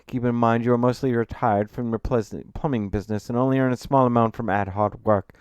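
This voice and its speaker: A man's voice: mid-range voice, a little fast, a little mellow, smooth, no echo, studio quality, voice pushed up, natural voice. A man voicing an earnest, authoritative accountant in an audiobook, speaking in a firm, assertive way. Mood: firm, confident. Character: earnest male accountant, authoritative, confident, easily annoyed.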